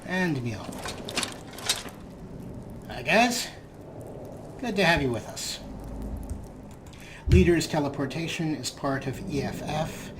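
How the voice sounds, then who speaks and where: Let's play host, middle pitch, casual commentary, wry, noisy game ambience. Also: lively intonation, takes it slowly, pauses often.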